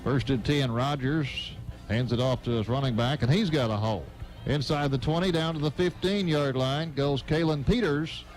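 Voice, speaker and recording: A man's voice: mid-range voice, normal speed, darkish tone, soft background music, low-quality recording. A man giving live radio play-by-play commentary of a football game, as the announcer.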